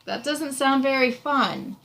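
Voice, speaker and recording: Creaky-voiced woman reading aloud. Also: high-pitched, lively intonation, measured pace, bright, forceful tone, poor audio. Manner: encouraging, explanatory. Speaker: reading tutor reading aloud to a child. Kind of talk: storybook read-aloud with discussion. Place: small room indoors.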